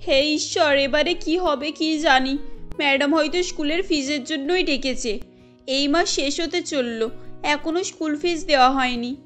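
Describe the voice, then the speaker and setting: A woman's voice: high voice, measured pace, a bit bright, faint music behind. A woman voicing an anxious, hard-up young mother in a cartoon, earnest and caring. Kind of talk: cartoon story dialogue.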